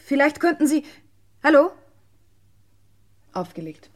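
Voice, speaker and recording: Give this secretary a higher-pitched female voice in an old radio crime play. She is formal and polite with callers and keeps her composure, though shaken underneath. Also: big pitch swings, unhurried. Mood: formal, shocked. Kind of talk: 1950s-70s German radio crime play acting.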